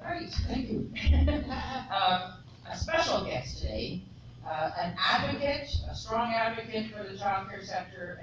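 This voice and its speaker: A man introducing a guest speaker at a press conference, a little halting. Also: high voice, muffled recording. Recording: distant, echoey, noisy.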